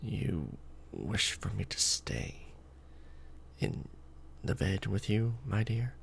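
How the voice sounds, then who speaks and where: A man playing a courtly, protective, brooding vampire lord in a soft, formal ASMR romantic roleplay. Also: lowish voice, big pitch swings, gravelly, heavily acted. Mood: hesitant, obliging. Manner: formal, archaic wording, slow and hushed.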